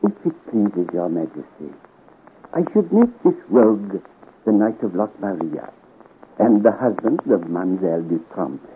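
An actor playing a scheming, deferential French duke in a 1950s radio serial, speaking in a courtly, persuasive way. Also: male voice, sits low, sing-song. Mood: coolly scheming. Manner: courtly, deliberate, persuasive, with calculated hesitations. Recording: scratchy, tinny 1950 radio serial recording.

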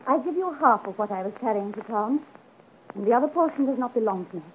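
A woman's voice: a little high, animated, fast talker, close to an everyday voice. An actress playing a spirited young noblewoman with earnest, refined, theatrical delivery in a 1950 radio serial. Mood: polite, pleading. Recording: tinny old 1950 radio recording, hiss.

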